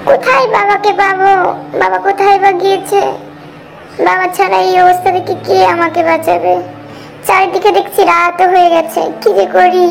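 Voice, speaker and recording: A tiny, extremely high voice of a frightened little bird boy acted by a woman in a cartoon fairy tale. He sounds pleading and helpless, under loud background music. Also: fairly even intonation, moderate pace, gravelly, poor audio. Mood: lost, anxious. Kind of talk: cartoon voice acting.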